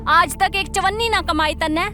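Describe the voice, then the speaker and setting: A woman's voice: very high voice, normal speed, pushed and bright, faint music behind. A woman with a Haryanvi accent playing a scolding, domineering middle-aged mother-in-law in an audio drama. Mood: scornful, angry.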